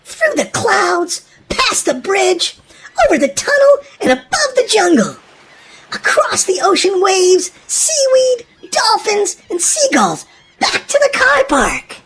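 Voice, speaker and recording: Very high, wildly animated woman storyteller. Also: slow pace, background noise. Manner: dramatic, emphatic storytelling for young children. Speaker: children's story narrator. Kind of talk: expressive scripted storybook narration.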